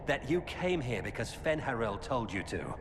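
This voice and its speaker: An actor playing a weary, earnest former knight turned defector, giving grave, urgent warnings in a video game. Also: male voice, mid-range voice, sing-song, moderate pace. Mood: urgent, pleading. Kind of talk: dramatic video game dialogue. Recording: cavernous reverb with loud background noise.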